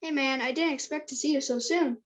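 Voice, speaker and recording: A woman's voice: high-pitched, a little fast, natural voice. A woman reading the part of a generous, easygoing teenage boy in casual scripted dialogue.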